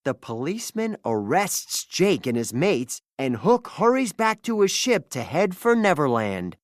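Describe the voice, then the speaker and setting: Animated children's storybook narrator, lively pitch. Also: unhurried, dry recording, clean studio sound.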